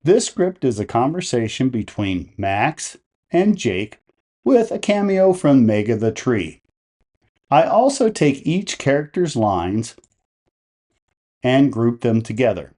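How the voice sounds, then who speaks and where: Male how-to narrator: mid-range, mellow voice, measured instructional delivery. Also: mid-range voice, sing-song. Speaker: tutorial host.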